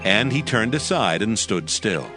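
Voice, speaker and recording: Male narrator, lowish and lively, steady grave reading. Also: unhurried. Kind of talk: dramatized scripture narration. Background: music.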